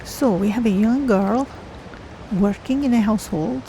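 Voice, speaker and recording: A woman voicing a calm, thoughtful, curious female cat-folk adventurer as she narrates her way through a game. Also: a little high, measured pace, a little hiss or hum, low-quality recording. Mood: musing. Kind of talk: in-character game stream narration.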